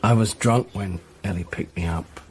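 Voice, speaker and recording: An actor playing a despairing, self-loathing jailed husband in a radio drama, speaking in a hesitant, downbeat way. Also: male voice, sits low, moderate pace, darkish tone, a little hiss or hum. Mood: weary, resigned. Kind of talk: naturalistic radio drama acting.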